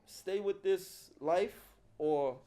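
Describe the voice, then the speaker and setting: A man's voice: high voice, very slow, mellow. A middle-aged man, a film producer talking casually and reflectively as an interview guest, using street slang. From Haiti.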